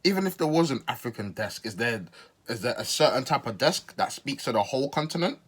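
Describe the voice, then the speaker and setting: A man's voice: mid-range voice, animated, fairly quick, breathy edge. A man from Zimbabwe giving casual reaction commentary as a podcast host, incredulous and questioning, repeating his points for emphasis.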